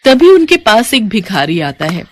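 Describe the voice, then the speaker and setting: A woman's voice: mid-range voice, very animated, measured pace, no room sound. A woman storyteller giving scripted narration of a devotional moral tale.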